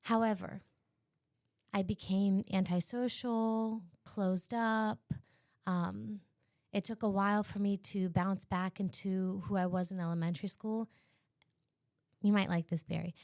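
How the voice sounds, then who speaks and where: A woman's voice: medium pitch, steady tone, unhurried, darkish tone, no echo, clean studio sound. A woman podcast guest, from Virginia, telling her own school story casually with many fillers. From Harrisonburg, Virginia.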